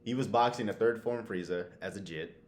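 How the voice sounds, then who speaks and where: A man's voice: on the low side, brisk, slight background noise. A man co-hosting a podcast, chatting casually and slangily about anime. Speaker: podcast co-host.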